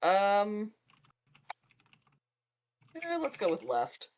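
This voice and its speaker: A woman's voice: medium pitch, huge pitch swings, extremely slow. A woman chatting casually as a player in a tabletop roleplaying game.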